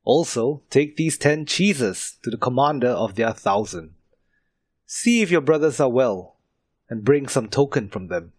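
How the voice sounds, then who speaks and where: Man acting old father, mid-pitched, measured, instructing, Bible story, close dry sound. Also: animated, breathy edge, dry recording. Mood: calm instructing. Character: old father sending his son on an errand. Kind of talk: dramatised Bible reading.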